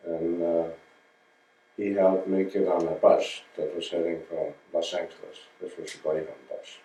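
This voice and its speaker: A man's voice: low-pitched, dark tone, reverberant, far from the mic, poor audio. An older man from Denmark recalling his life in a home oral history interview, telling it plainly and correcting himself as he goes. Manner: slow, plain storytelling with restarts and self-corrections.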